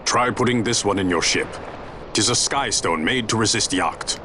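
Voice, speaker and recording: An actor as a deep, gruff sky pirate captain. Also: male voice, moderate pace, background noise, lightly acted. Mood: wry. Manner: archaic, commanding speech. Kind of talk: video game cutscene acting. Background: game score music.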